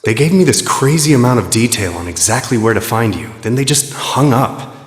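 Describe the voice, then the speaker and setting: A man's voice: medium pitch, animated, fairly quick, echoey room, big-room sound, close to an everyday voice. An actor playing a casual, laid-back young man in a video game cutscene, talking informally. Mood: offhand. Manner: informal, offhand. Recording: clear background noise, engine-like ambience.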